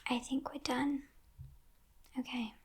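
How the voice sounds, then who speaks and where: A woman voicing a teasing, possessive female demon captor in a breathy, mock-polite whisper, as ASMR roleplay. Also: high-pitched, little pitch movement, exaggerated voice. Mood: smug, amused. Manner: slow, hushed, mock-polite, condescending.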